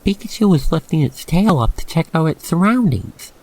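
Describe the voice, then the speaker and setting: A man's voice: fairly high pitch, animated, normal speed, a little mellow, rough recording. A man narrating an ASMR roleplay, telling the story theatrically and speaking directly to the listener.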